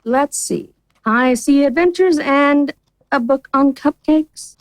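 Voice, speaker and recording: An actress playing a snide, amused librarian in an audio drama, dry and condescending. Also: female voice, high-pitched, slow pace, no echo. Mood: snide amusement. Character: snide, amused female librarian, curtly helpful.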